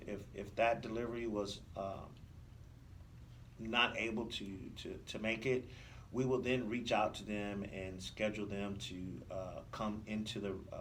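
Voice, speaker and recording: A man speaking as a police officer in an interview, explaining things haltingly and often repeating words. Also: fairly low pitch. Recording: slightly distant mic, faint noise.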